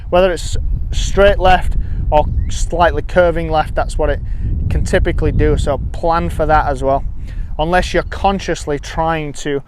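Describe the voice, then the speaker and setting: A man's voice: on the high side, fairly even intonation, a little slow, a little hiss or hum. A man giving step-by-step golf instruction outdoors, often repeating and rephrasing as he explains.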